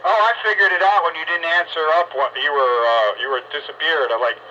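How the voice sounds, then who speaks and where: A man's voice: a little high, fairly quick, pushed and bright. A man in a casual chat with fellow hobbyists over a two-way radio. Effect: two-way radio transmission. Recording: muffled two-way radio with faint noise.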